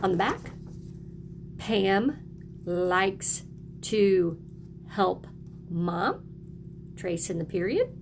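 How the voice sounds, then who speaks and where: A woman's voice: medium pitch, expressive, very slow, pauses often, slight background noise. A woman teaching young children, encouraging them in short, simple phrases as she reads aloud and explains. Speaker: early elementary teacher.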